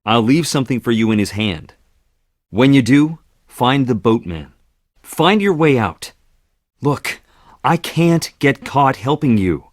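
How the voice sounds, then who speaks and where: A man's voice: mid-range voice, animated, measured pace, no echo, clean studio sound. Anxious, conflicted young guy. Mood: furtive. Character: nervous, conflicted young man in over his head. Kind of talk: video game voice acting.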